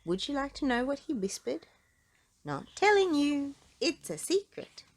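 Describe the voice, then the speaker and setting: A woman reading a children's book aloud in an expressive storytelling style, drawing out words for emphasis. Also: high-pitched, unhurried.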